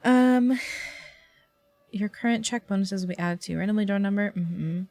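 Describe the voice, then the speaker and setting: A woman's voice: mid-range voice, normal speed, darkish tone, no room sound, faint music behind. A woman livestreaming a game, reacting casually and expressively, often muttering to herself. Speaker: live streamer.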